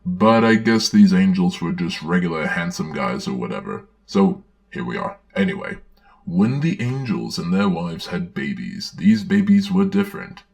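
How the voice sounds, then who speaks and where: Very deep, gravelly man reading theatrically. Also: big pitch swings, moderate pace, darkish tone. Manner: theatrical, wry, sardonic. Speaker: host, storyteller. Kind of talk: dramatic reading with sarcastic commentary.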